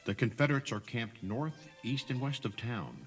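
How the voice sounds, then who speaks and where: A man's voice: mid-range voice, moderate pace, faint music behind. A man narrating a scripted audio tour, explaining things directly to the listener.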